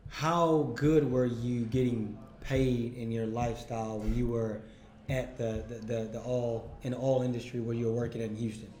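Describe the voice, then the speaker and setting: A man hosting a casual interview podcast, his voice hoarse, stumbling and repeating words as he starts his questions. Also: medium pitch, flat delivery, moderate pace, a little mellow, reverberant. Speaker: podcast host.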